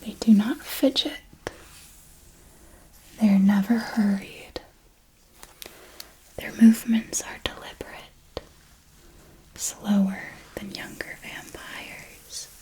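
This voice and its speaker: A woman acts out an elegant vampire mentor for an ASMR roleplay. She whispers intimately at a very slow, deliberate pace, close to the mic. Her phrasing is formal, with a possessive fondness and calm command. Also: mid-range voice, close to an everyday voice, poor audio. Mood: calm, instructive. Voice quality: breathy whisper. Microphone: close microphone.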